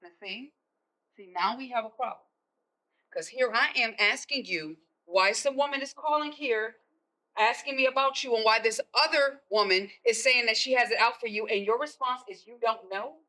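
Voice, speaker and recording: Stage role: exasperated, no-nonsense American mother, folksy turns of phrase, forceful and higher-pitched. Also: normal speed, rough recording. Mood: stern, questioning. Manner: scolding, folksy colloquial phrasing. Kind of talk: stage play acting.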